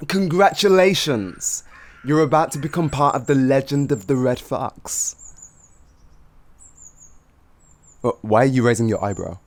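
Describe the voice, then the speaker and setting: A man playing a cocky, flirtatious highwayman who teases and gloats in an improvised fantasy roleplay spoken to the listener. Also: darkish tone. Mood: showy, incredulous. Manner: teasing, gloating, chuckling, improvised hesitations.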